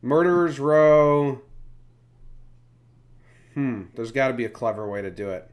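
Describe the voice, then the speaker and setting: A man's voice: medium pitch, lively intonation, unhurried. A man hosting a sports podcast in a casual, chatty style. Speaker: podcast host.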